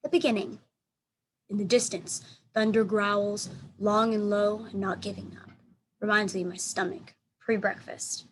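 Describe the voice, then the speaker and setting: A woman teacher reading a children's storybook aloud in expressive narration. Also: mid-range voice, unhurried, rough recording. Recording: clear background noise.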